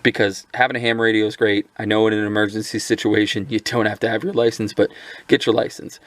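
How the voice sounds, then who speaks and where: A man's voice: on the low side, flat delivery, fast, no room sound. A man giving a casual, rambling product review, with many fillers and restarts. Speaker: radio gear reviewer.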